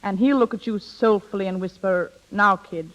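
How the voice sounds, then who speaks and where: A woman's voice: medium pitch, normal speed, natural voice. An actress playing a worldly, bossy woman coaching a girl toward marriage in a 1930s radio drama, wry and knowing. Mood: scheming, coaxing. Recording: muffled 1930s radio broadcast.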